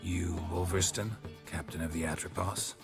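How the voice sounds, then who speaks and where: A man playing a stoic, terse mercenary in a fantasy video game, with a laconic, deadpan delivery. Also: low-pitched, normal speed, music in the background. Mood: terse, firm. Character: stoic, terse male monster-hunting mercenary. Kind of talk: video game cutscene acting.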